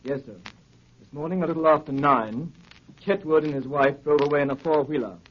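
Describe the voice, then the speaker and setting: An actor playing a dutiful police inspector undercover as a butler, husky, deferential and matter-of-fact, in 1930s radio drama. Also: male voice, on the high side, brisk, close to an everyday voice. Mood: earnest, reporting. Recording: dull 1930s radio recording.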